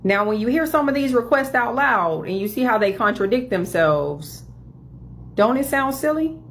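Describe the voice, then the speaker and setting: A woman giving an opinion monologue, mocking demands in quick sarcastic bursts. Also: a little high, expressive, a little hiss or hum.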